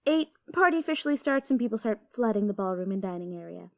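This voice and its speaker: A woman's voice: mid-range voice, lively intonation, quick pace, gravelly. A woman playing an officious, exacting heist planner in an audio drama, with a precise, prim, businesslike manner.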